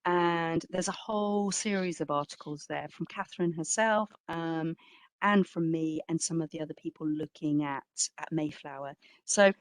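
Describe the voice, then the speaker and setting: A woman's voice: sits low, moderate pace. A woman speaking as an exhibition curator in an informal academic talk, reflective and hedging, stressing key words.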